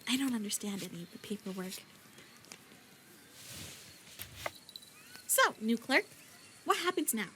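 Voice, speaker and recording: A woman voicing a bubbly, naive young shopkeeper who is excitable, chatty and easily torn between choices in a roleplay voice pack. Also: sits high, a little hiss or hum, heavily acted. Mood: earnest.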